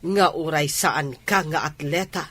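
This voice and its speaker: A woman's voice: low voice, unhurried, a bit bright. A woman playing a determined mother in a radio drama, with an Ilocano Filipino accent. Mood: firm. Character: firm, determined mother sending her son abroad.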